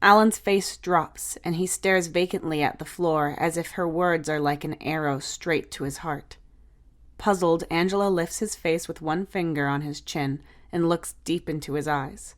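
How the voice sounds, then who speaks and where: A woman's voice: deep voice, unhurried. A woman reading scripted fiction aloud as an audiobook narrator.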